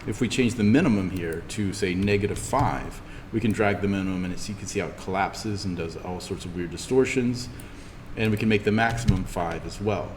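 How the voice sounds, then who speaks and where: A man with a fairly low voice leads a software tutorial in class. He explains informally, one step at a time, as he demonstrates. The room is reverberant, with faint noise behind him. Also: a little fast. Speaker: instructor.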